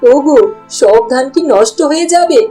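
A woman voicing a gentle, devout farmer's wife and mother in a cartoon, caring and pleading. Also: high voice, expressive, moderate pace, soft background music. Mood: anxious. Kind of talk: cartoon voice acting.

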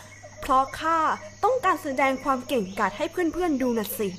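A woman's voice: sits high, sing-song, moderate pace, a bit bright, slight background noise. A woman voicing a boastful male hunter in a storybook tale, bragging to his friends. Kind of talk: storybook character voice.

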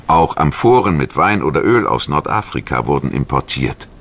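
A man reading a scripted history documentary narration in a measured, articulate storytelling manner. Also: very deep voice, big pitch swings, gravelly, fairly bright tone, a little hiss or hum. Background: music.

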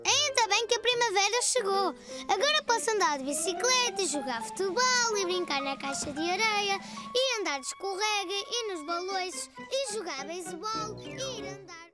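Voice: extremely high pitch, lively intonation, moderate pace. An actor voicing a cheerful, curious four-year-old boy in a children's cartoon, with a European Portuguese accent. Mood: excited, happy. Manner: eager, wide-eyed, childlike. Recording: music behind the voice.

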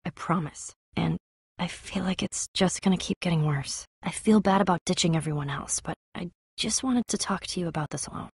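A woman voicing a tough, guarded but loyal young female adventurer in an animated adventure game, husky and blunt, with a casual, earnest delivery. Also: on the low side, brisk, no echo, clean studio sound. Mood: uneasy, confiding. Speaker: game voice actress.

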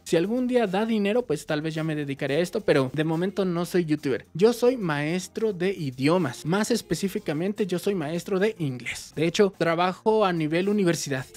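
Mexican guy, 30s, gaming vlogger, lively casual Q&A, soft music underneath. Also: sits high, normal speed, hardly any pauses. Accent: Mexican Spanish. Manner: chatty, animated, waves arms while talking. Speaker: YouTube gaming channel host. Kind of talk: casual Q&A vlog. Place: small indoor room with game shelves.

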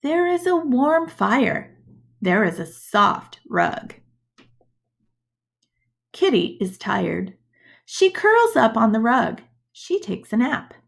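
A woman schoolteacher reads a picture book aloud to children. Her voice sits a little high and swings widely in pitch. She takes her time, speaking deliberately and expressively for young listeners. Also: creaky. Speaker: schoolteacher reading to pupils. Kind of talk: storybook read-aloud for children. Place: indoors, webcam screen recording.

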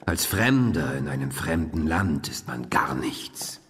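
A man playing a courtly, menacing old vampire count in a German radio horror drama, speaking formally and deliberately. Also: very deep voice, lively intonation. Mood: formal, proud. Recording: long reverberant hall.

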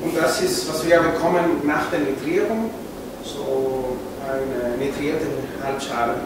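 A man's voice: on the high side, fairly even intonation, slow. A man in his forties with a Chilean Spanish accent explains a craft in hesitant, non-native phrasing. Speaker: instrument maker and musician. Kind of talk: explaining a craft process to camera. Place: indoor production workshop. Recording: echoey workshop with slight noise.

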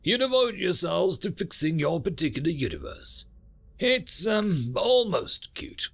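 A man acts out the universe itself as a bashful cosmic being in an audio story. The voice sits high and swings up and down, stammering politely and apologetically as it explains itself. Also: very animated, measured pace, gravelly, a bit bright, slightly rough, exaggerated voice. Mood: matter-of-fact, explaining.

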